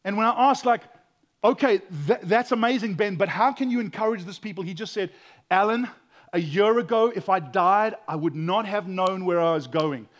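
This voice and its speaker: Pastor guy preaching, high voice, goes from whispering to shouting, stammers a lot. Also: normal speed. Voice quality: loud voice. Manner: swings from hushed whispers to loud exclaiming, stammers repeats. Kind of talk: sermon.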